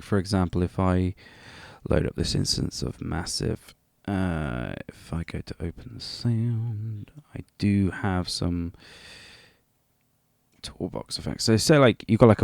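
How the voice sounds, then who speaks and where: A man's voice: sits low, gravelly, a little mellow, no echo, studio quality. A man presenting a casual, unscripted music production tutorial, rambling hesitantly and a little self-deprecating.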